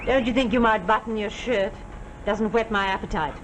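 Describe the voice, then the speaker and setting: Actress as aristocratic countess, icy, sardonic, clipped, mid-pitched, vintage TV drama, slight background noise. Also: female voice, fairly even intonation, normal speed, close to an everyday voice. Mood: cool, mocking. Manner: clipped, disdainful, dryly polite. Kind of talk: 1940s television drama acting. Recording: old 1949 soundtrack with faint noise.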